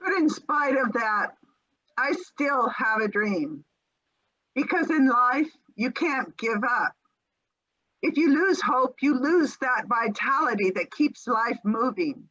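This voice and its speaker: A woman giving a theatrical reading of a speech in a measured, declamatory way. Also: fairly high pitch, lively intonation, sharp tone, no room sound, highs cut off, heavily acted. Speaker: amateur stage reader.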